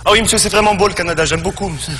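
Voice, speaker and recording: A man's voice: sits high, very fast, bright, forceful tone. A man acting as a naive, polite Moroccan immigrant, hesitant, repetitive and eager to please. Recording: echoey hall, clear background noise.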